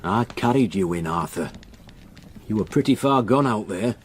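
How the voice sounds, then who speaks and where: An actor playing a gruff but kindly rural man in a radio drama, plain-spoken and firm. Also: fairly low pitch, expressive, normal speed, lightly acted. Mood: gruff concern. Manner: plain-spoken, firm, dialect turns of phrase.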